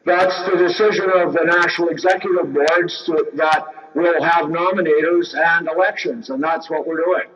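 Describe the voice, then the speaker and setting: Man chairing a big convention, high voice, brisk and firm, sounds far off and muffled. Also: fairly even intonation, slight background noise. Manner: brisk, informal, firm with the floor. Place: large convention hall. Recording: distant, dull hall audio.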